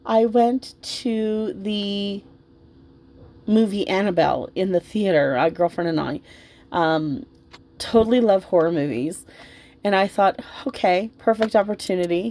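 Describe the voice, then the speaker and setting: A woman vlogger talks in a casual, chatty way, as if thinking aloud while busy with her hobby. Her pitch sits in the middle range for a woman. She rambles informally and is openly effusive. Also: a little slow, warm tone. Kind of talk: casual, chatty commentary while dressing a doll.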